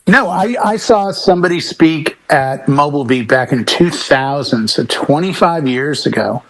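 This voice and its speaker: A man's voice: on the high side, expressive, normal speed, a bit bright, low-quality recording. Middle-aged man, emphatic panel talker. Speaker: talk show panelist, professional DJ. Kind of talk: casual panel chat.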